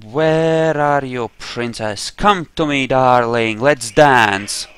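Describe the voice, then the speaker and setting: A man's voice: mid-range voice, slow pace, fairly bright tone, a little hiss or hum. A man giving casual role-play commentary over a video game, explaining his character and trash-talking enemies. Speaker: gamer, playthrough host.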